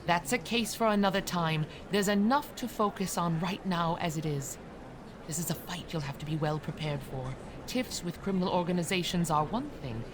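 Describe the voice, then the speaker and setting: A woman's voice: fairly low pitch, close to an everyday voice. A woman playing a kindly, protective middle-aged housekeeper in an audio drama, motherly and earnest. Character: kindly older housekeeper, a protective former matron. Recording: clearly noisy background ambience.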